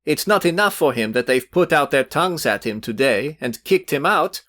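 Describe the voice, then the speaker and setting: A man's voice: medium pitch, lively intonation, a little slow, a bit bright, no room sound, clean studio sound. A man reading aloud voices a brash, insolent young man who talks hotly with a raised voice. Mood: heated.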